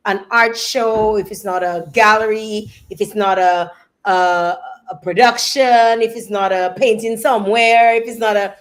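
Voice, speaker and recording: A woman's voice: mid-range voice, fairly even intonation, measured pace. A woman hosting a casual live interview, chatting playfully and circling back over her phrases as she builds up a question. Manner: rambling, repeats phrases, playful. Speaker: live show host and interviewer.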